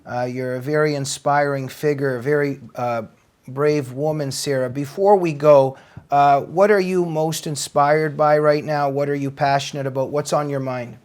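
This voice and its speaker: A man hosting an interview, asking questions in a halting way and restarting often. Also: on the high side, fairly even intonation, darkish tone, smooth. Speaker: host. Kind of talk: interview host.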